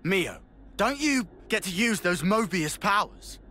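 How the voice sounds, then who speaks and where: A man's voice: sits high, very animated, unhurried, sharp tone, a little hiss or hum, exaggerated voice. A man voicing a brash, easygoing young soldier who talks bluntly in casual slang. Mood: excited. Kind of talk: video game cutscene acting.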